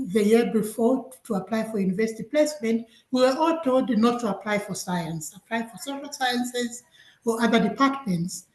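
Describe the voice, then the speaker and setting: Woman from Zambia telling her story, echoey. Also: a little high. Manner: warm storytelling with frequent laughs. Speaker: guest scientist presenting. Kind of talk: webinar presentation, personal story. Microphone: video call.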